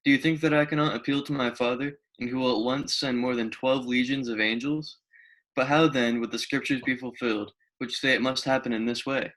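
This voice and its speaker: A man reading the part of Jesus, calm and resolute, in a church Passion reading. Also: mid-range voice, a little fast, natural voice, low-quality recording. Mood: grave, resolute.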